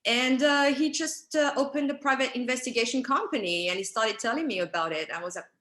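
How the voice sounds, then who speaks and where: French-accented actress, echoey room. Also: female voice, fairly high pitch, fairly quick. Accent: French with British traces. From France. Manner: animated, chatty, lots of fillers and quoted dialogue. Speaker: actress and interview guest. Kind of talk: casual interview storytelling. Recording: roomy echo.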